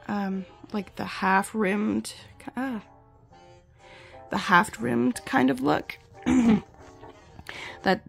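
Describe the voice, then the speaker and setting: A woman vlogger sick with the flu, her voice gravelly, chatting in a rambling, self-deprecating way while reviewing glasses at home. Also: a little high. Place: home, indoors and outdoors in yard.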